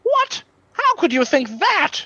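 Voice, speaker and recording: Woman as a high-voiced, wheedling con artist. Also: big pitch swings, takes it slowly, bright, forceful tone, no echo, exaggerated voice. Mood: pleading. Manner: stammering, wheedling. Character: slippery, pleading, fast-talking male con artist. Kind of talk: comic radio play acting.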